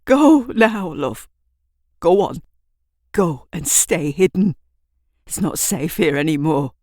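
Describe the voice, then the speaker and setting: A woman's voice: on the low side, expressive, takes it slowly, darkish tone, no room sound. A woman plays a frail old man in an audio drama. He is grieving and secretive, speaking weakly in terse, weary phrases, recorded dry and close. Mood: weak, urgent. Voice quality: weak.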